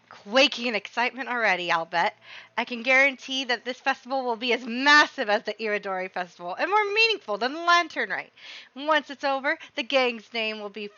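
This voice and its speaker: A woman voicing a boastful, excitable, chummy male gang leader from a video game, reading his dialogue with exuberant bragging. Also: fairly high pitch, dry recording, muffled recording. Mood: boisterous excitement.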